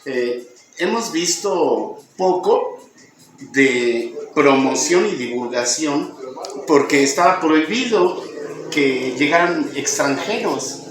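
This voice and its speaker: A man conducts a relaxed interview, speaking slowly and dropping in short sounds of agreement. He sits well back from the mic in a very echoey space. Clear background noise runs underneath. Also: a little high. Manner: unhurried, with frequent backchannel agreement. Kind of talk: casual interview. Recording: echoey, distant, with noticeable noise.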